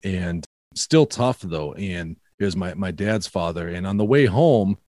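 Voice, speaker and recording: A man's voice: low voice, expressive, unhurried, no room sound, studio quality. A man with a Minnesota accent, chatting casually and telling stories with many restarts and repeated words. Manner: warm storytelling, frequent restarts and repeated words. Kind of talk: casual conversation.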